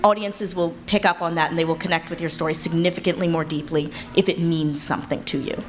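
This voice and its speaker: A woman's voice: fairly low pitch, steady tone, fast. A woman speaking as a panelist, earnest and emphatic, in a conversational style. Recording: noisy live convention room.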